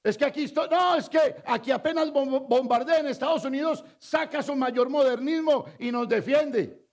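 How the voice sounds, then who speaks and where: High-voiced Colombian pastor preaching. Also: male voice, moderate pace. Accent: Colombian Spanish. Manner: call-and-response preaching, asks the congregation to fill in words; swings between loud emphatic shouts and low confiding asides. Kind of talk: sermon.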